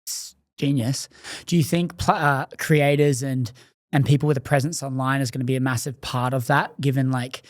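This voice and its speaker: A man's voice: medium pitch, steady tone, moderate pace, no room sound, clean studio sound. A man hosting a podcast, asking long rambling questions full of fillers. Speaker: podcast host. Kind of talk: casual interview questions.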